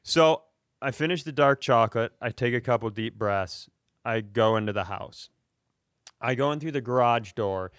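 A man tells a casual comic story in a smooth, clear voice with a very dry, close sound. He is self-deprecating and swears freely, in the style of a comedy podcast host. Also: mid-range voice, normal speed, fairly bright tone, no room sound.

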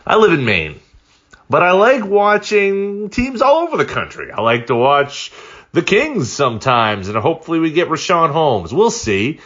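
Lively male podcast host reading an ad. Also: fairly high pitch, moderate pace, lo-fi sound. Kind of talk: conversational scripted ad read.

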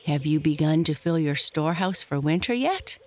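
A woman's voice: low-pitched, animated, normal speed. A woman playing a greedy, suspicious male squirrel in an animal storybook, with a pointed, probing manner. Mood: prying.

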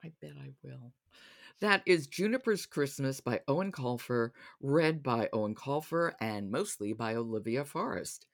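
A woman hosts a conversational interview in a low-pitched voice. Her intonation swings widely and expressively, and she comes across as responsive and inquisitive. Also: expressive, a little slow. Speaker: host.

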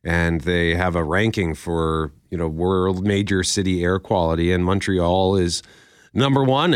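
A man's voice: deep voice, clear, resonant tone, no echo, clean studio sound. A man co-hosting a radio show in easygoing, casual chat, a bit sniffly from allergies. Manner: easygoing, chatty with restarts.

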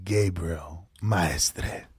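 A man co-hosting a casual podcast, excitable and loose, swearing freely and laughing as he talks. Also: low voice, extremely slow, loud music behind the voice. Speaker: podcast co-host. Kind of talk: casual boxing chat.

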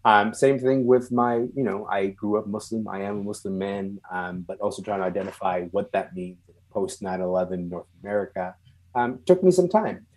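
A man from Sudan who moved to Canada young and is not a native English speaker, speaking reflectively and haltingly as an interview guest, searching for words. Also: on the low side, a little mellow, rough recording. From born and raised in Sudan, moved to Canada at twelve. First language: non-English first language.

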